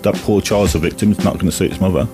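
Really deep-voiced guy, bitter and indignant, music playing. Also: steady tone, fast talker. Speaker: interviewee. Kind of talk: emotional interview clip.

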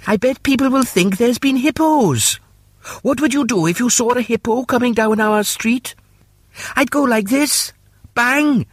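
A man voicing an excitable, boastful, playful little boy in a storybook reading. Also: very high-pitched, a little slow, slightly rough, dry recording, voice pushed up, full character voice. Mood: excited. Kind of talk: storybook character voice.

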